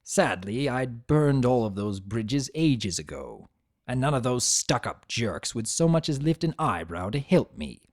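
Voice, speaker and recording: A man's voice: mid-range voice, very animated, unhurried. A man reading fan fiction as a dramatic, scripted first-person narration.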